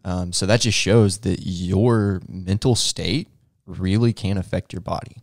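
A young man with a low, gravelly voice co-hosting a casual podcast. He chimes in with easygoing asides and plenty of "um"s, recorded dry and close on a studio mic. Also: steady tone, unhurried, a little mellow, dry recording. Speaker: podcast co-host. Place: small room indoors, seated in armchair. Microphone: boom-arm studio mic close in front.

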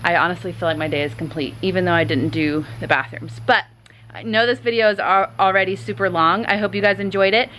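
A woman's voice: on the low side, steady tone, a little fast, fairly bright tone, a little hiss or hum, rough recording. A woman in her thirties filming herself at home, chatting casually through her cleaning day with lots of "like" and "um". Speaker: vlogger. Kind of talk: cleaning vlog narration and selfie chat. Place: large house, indoors. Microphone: phone camera at arm's length.

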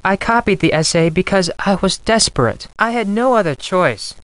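A man's voice: high voice, lively intonation, moderate pace, a bit bright, dry recording. A man playing a polite, formal, anxious young Japanese exchange student in a video game. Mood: ashamed, pleading. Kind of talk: scripted video game dialogue.

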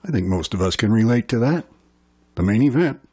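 A man's voice: lowish voice, expressive, fairly quick. A man hosting a radio music show, introducing songs in an easygoing, chatty way.

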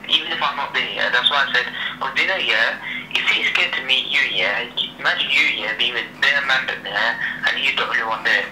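A man's voice: mid-range voice, fairly quick. A young man chatting casually on a phone call, slangy and repetitive, tagging sentences with "yeah" and "innit". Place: phone speaker held up in a room. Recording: noisy, echoey speakerphone call.

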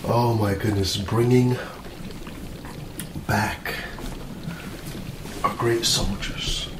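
A man playing an eager, self-important mad scientist doctor in an ASMR roleplay, musing aloud in halting short phrases. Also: fairly low pitch, fairly even intonation. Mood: proud, excited. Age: middle-aged. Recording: roomy, distant mic with loud noise.

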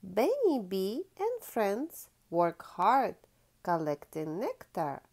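A woman reads a picture book aloud for young children in a mid-pitched voice. Her intonation swings widely and expressively. She takes her time, stretching key words and guiding listeners as a teacher would. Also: huge pitch swings, takes it slowly, darkish tone. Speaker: children's storytime host.

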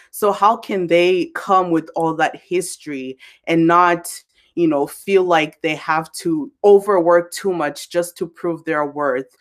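A woman of twenty from Zimbabwe asking thoughtful questions as a student interviewer on a video call from a bedroom. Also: sits low. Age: 20s. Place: small bedroom at home. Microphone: laptop webcam on video call.

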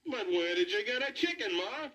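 A man doing a high, flippant storybook boy. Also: lively intonation, normal speed, sharp tone. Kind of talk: comic cartoon storybook dialogue.

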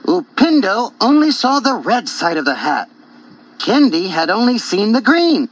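A man reading a children's folk tale as a storyteller, in an animated scripted style. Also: sits high, a little slow, dry recording. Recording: dull cassette tape.

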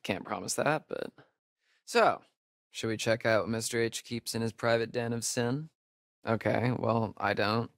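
A man's voice: medium pitch, fairly bright tone, no room sound. Wisecracking young American guy, dry recording. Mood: teasing, prodding. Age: young adult. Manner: casual, sarcastic, halting asides. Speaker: video game voice actor. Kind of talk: naturalistic video game dialogue.

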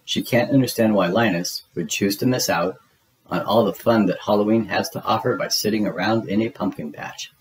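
A man narrating a children's storybook in an expressive, deliberate storytelling manner. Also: on the low side, husky, rough recording. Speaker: read-aloud narrator. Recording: music behind the voice. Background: music.

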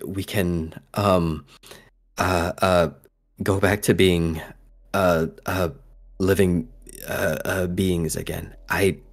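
A man voicing a pale, cautious vampire woman in a tabletop game, halting and stammering as he explains. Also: low voice, heavily acted.

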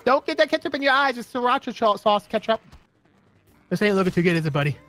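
Male co-player, playful gameplay banter, high-pitched, very animated delivery. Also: fast. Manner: excitable, exclamatory. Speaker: gaming video co-player.

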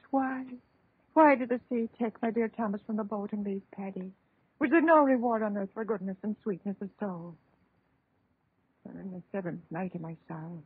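An actress playing a frail, pious, grieving old widowed mother in 1930s radio horror, husky and lamenting. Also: medium pitch, no echo. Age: older. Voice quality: husky, rough. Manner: plaintive, lamenting storyteller with pious exclamations. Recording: tinny, band-limited 1930s radio recording.

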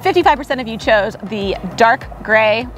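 A woman's voice: a little high, animated, normal speed, fairly bright tone. Enthusiastic woman touring an echoey house. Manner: enthusiastic, explains design choices. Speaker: house flipper co-host. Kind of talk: casual home-tour chat. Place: empty renovated house, indoors. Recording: echoey empty rooms, faint music.

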